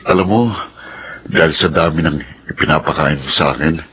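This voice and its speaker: An actor playing a gentle, affectionate man in love in a Filipino radio drama, with background noise. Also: a bass voice, brisk. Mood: fond, wistful. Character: affectionate, grateful man in love, being cared for.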